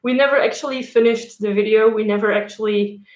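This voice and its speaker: A woman's voice: fairly high pitch, fairly even intonation, fairly quick, darkish tone. Chatty young woman, echoey room. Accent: Dutch. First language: Dutch and French. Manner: chatty, frequent fillers and laughs. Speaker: YouTuber. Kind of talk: casual live stream chat.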